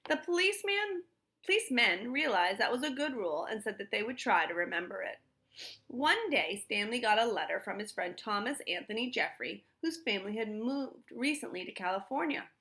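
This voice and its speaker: Animated woman reading a children's storybook. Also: a little high, normal speed. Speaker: storybook reader. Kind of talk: expressive children's read-aloud.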